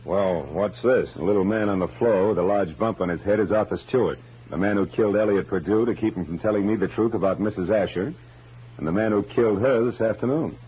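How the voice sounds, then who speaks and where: A man's voice: fairly low pitch, quick pace, husky. A man playing a wry, wisecracking hardboiled private detective in the style of 1940s radio noir. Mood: matter-of-fact. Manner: sardonic, wisecracking. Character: wry, hardboiled male private detective narrating his case. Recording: lo-fi vintage radio, music behind.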